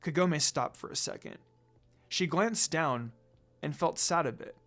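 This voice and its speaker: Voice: mid-range voice, lively intonation, measured pace, fairly bright tone. A narrator reading a scripted fan fiction story aloud.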